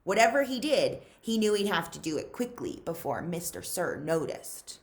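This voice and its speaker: A woman reading a children's novel aloud as a librarian would, with measured, dramatic emphasis. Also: on the low side, very animated. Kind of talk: expressive storybook read-aloud.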